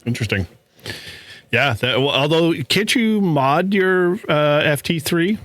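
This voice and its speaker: A man's voice: fairly high pitch, animated, unhurried, a bit bright, no room sound. A man hosting a casual panel chat, jovial and slangy, often laughing as he talks. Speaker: podcast host.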